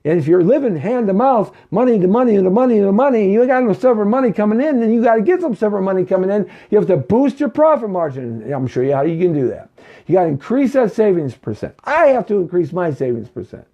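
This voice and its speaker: A man's voice: high-pitched, sing-song, fast talker, muffled recording. A man in his fifties hosting a live money talk show, preaching and repeating himself, and often checking in with "okay?" and "right?". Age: 50s.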